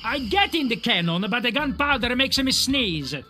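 A man voicing an excitable, squabbling circus acrobat brother with showy, exclamatory delivery in a video game. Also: very high-pitched, moderate pace, soft background music, heavily acted. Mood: squabbling, whiny. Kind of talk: theatrical cartoonish game acting.

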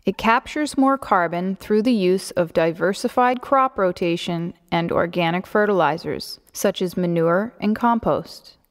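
A woman reading a scripted explanatory narration. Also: mid-range voice. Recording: long hollow reverb.

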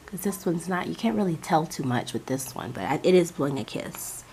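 A woman hosting a casual unboxing vlog, hoarse from a cold, chatty and gushing as she talks to her viewers. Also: low voice, expressive, a little fast, a little mellow, slight background noise, rough recording. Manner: chatty, gushing, addresses viewers as "you guys". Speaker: crafting channel host.

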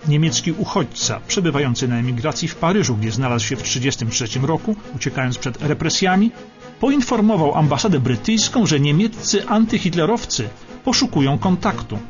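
A man narrates a dramatic scripted documentary for radio. His voice is on the higher side and swings widely in pitch, with emphatic, suspenseful phrasing. The sound is slightly dull, with music behind.

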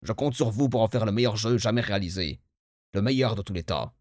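Man playing a low-voiced pleading game fan. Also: a little fast, a little mellow, no room sound, clean studio sound, lightly acted. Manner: earnest, imploring, dramatic. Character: pleading, devoted game fan with enormous expectations.

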